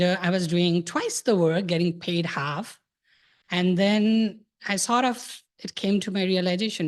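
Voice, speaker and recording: A man in his thirties from Nepal, giving a hesitant, soft-spoken talk about his thesis book to an audience. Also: high-pitched. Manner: soft-spoken, hesitant with many filler sounds. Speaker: thesis presenter.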